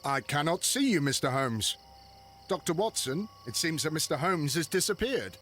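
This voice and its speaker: A man's voice: on the high side, expressive, normal speed, fairly bright tone, a little hiss or hum. A man playing a Victorian London police constable in a video game, with a British accent. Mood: deferential. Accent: British English.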